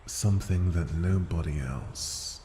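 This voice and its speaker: A man voicing an ancient, haughty and possessive dragon in an intimate fantasy ASMR roleplay, speaking formally and deliberately with condescending tenderness and a breathy edge. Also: low-pitched, warm tone, reverberant, slight background noise. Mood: musing, intrigued. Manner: slow, deliberate, formal, condescending, often dropping to a breathy whisper.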